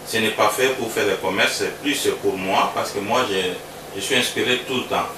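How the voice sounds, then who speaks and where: A man from Congo, a musician, answering interview questions in a relaxed, halting way that repeats words. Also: on the low side. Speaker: musician guest. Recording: roomy sound from a distance with background noise.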